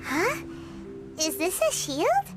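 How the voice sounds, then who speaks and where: A woman's voice: very high-pitched, wildly swooping pitch, slow pace, full character voice. A woman voicing a tiny, cheeky, childlike fairy companion in a video game. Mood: curious, skeptical. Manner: speaks of herself in the third person. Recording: prominent tinkling music behind the voice.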